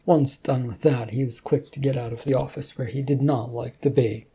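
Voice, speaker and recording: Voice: mid-range voice, steady tone, moderate pace, dark tone, husky. A narrator reading a scripted story aloud, with slight stumbles now and then.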